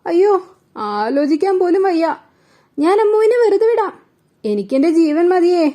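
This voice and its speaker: A woman's voice: extremely high pitch, sing-song, unhurried, warm tone, voice pushed up, heavily acted. A woman voicing a cunning but cowardly male fox in a children's story, whining and pleading frantically. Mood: frightened pleading.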